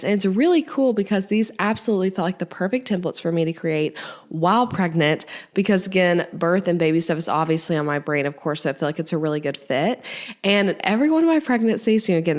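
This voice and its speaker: Chatty woman in her thirties with a cold. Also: fairly low pitch. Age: 30s. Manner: chatty and upbeat, filler-heavy with many "like"s. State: slightly congested from a cold. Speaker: podcast host. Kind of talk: casual solo podcast talk.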